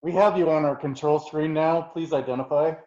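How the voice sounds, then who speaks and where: A man's voice: a little high, fairly even intonation, a little fast, mellow, close to an everyday voice. A man playing a formal, clipped Imperial traffic controller in a script table read. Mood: officious. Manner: formal, official. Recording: roomy, dull lo-fi call audio.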